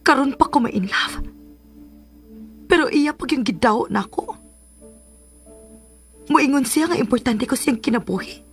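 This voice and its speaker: A woman's voice: sits high, lively intonation, unhurried, pauses often, husky, soft background music, exaggerated voice. A Filipino actress playing a bitter, sarcastic, lovelorn woman in a radio drama, drawing out her lines with scorn. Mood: bitter, mocking. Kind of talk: Philippine radio drama acting.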